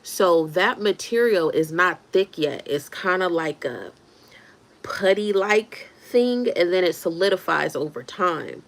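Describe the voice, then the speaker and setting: Casting note: thirties woman, lowish voice, loose chatty livestream host answering comments. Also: lively intonation, a little slow, gravelly. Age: 30s. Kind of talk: casual livestream chat.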